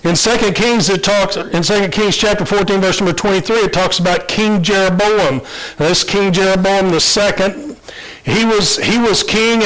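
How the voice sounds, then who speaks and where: A man preaching a conversational Bible lesson, congested from allergies and clearing his throat now and then. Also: sits high, fairly bright tone, poor audio. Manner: conversational, with fillers and restarts. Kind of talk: Bible teaching sermon.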